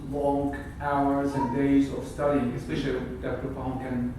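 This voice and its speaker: A man in his thirties with a Syrian Arabic accent gives a graduation speech, searching for words and restarting often in his second language. His voice sits at an ordinary pitch for a man. It is captured from across a wood-paneled hall, with heavy echo and a noisy background. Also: level tone, normal speed, warm tone. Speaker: graduating surgical resident. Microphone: far camera. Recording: echoey hall from far, noisy.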